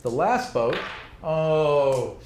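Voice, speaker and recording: Male game master, casual and animated storyteller with a higher-set voice, recorded in an echoey room. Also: drawn-out words. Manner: informal, animated with spoken sound effects. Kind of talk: improvised narration of a tabletop game. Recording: roomy echo, faint background noise.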